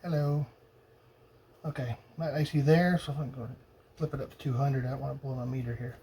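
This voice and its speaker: A man thinking aloud in a halting, casual way as he tests electronics at a workbench. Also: mid-range voice, fairly even intonation, dark tone. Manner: muttering, halting, pondering to himself. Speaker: hobbyist demonstrating electronics testing. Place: indoor cluttered electronics workbench. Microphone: old phone used as camera above bench. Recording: noisy phone-camera workshop recording.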